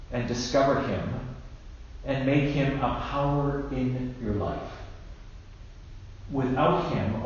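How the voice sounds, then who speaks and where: Slow-paced man preaching in an echoey church. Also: medium pitch. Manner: measured, deliberate, with emphatic pauses. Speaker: bishop preaching. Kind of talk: sermon. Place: indoors in a church at a lectern. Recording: very echoey church, dull sound, faint noise.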